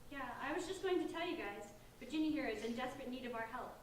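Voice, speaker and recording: Echoey, high-pitched earnest teenage girl acted by a woman. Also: lively intonation, fast. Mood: earnest, firm. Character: Sarah, earnest determined sixteen-year-old girl leading her friends. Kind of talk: staged reading of a play. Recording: distant echoey room, faint noise.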